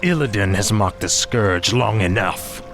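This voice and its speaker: An actor playing a ruthless young fallen prince turned death knight in a fantasy game, grim, resolute and theatrical. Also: male voice, fairly low pitch, animated, measured pace, fairly bright tone. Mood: triumphant. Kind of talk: fantasy video game acting. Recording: music behind the voice.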